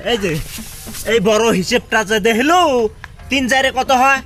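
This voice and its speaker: A man playing a brash, boastful village election supporter, arguing in a northern Bengali dialect with loud rhetorical questions. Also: very high voice, normal speed, soft background music. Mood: scolding. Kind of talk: comedy skit acting in village dialect.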